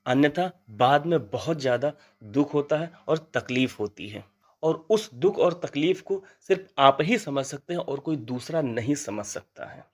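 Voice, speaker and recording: A man's voice: on the high side, expressive, normal speed. A man hosting an exam update channel, explaining things informally and earnestly to viewers, advising them and repeating points for emphasis.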